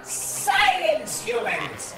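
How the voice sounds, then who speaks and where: A woman's voice: fairly high pitch, huge pitch swings, over loud music, exaggerated voice. A woman playing a menacing alien slaver warlord in a science fiction radio play, declaiming in a grand, commanding manner. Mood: cold, imperious. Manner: slow, commanding, theatrical declaiming.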